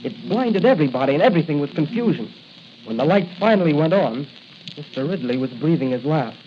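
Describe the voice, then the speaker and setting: An actor playing a nervous, defensive hospital intern with a hesitant, protesting manner in a 1940s radio drama. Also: male voice, fairly high pitch, animated, fairly quick, natural voice. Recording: dull, hissy 1940s radio recording.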